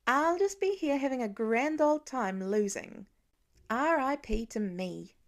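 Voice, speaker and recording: A woman's voice: sits high, expressive, a little slow. Woman voicing a dramatic, sarcastic teenage girl. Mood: sardonic. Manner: dramatic, sardonic.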